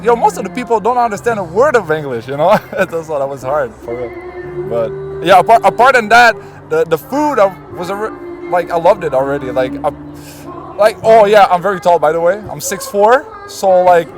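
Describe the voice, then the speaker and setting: A man from Canada telling lively stories in a street interview. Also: high-pitched, brisk, soft background music. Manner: animated storyteller, laughs. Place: city street, outdoors.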